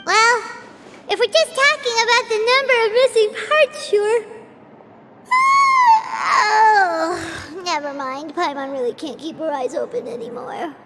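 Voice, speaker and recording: A woman voicing a small, childlike fairy companion in a video game, squeaky, chatty and exclamatory. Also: big pitch swings, a little slow, pauses often, full character voice, low-quality recording. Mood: tired, deflated. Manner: refers to herself in third person, exclamatory. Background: background music.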